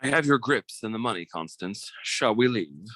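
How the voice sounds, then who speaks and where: A suave, scheming male thief , acted by a woman with a very low, animated voice. He is mock-polite and commanding in old time radio style, recorded close and dry. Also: moderate pace, dry recording, heavily acted, rough recording.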